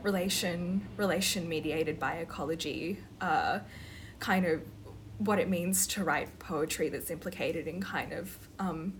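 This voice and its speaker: A woman's voice: lowish voice, unhurried, rough recording. A woman poet chatting casually and reflectively about her practice, using academic vocabulary. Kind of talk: casual conversational discussion. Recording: clear background noise, ambient sound.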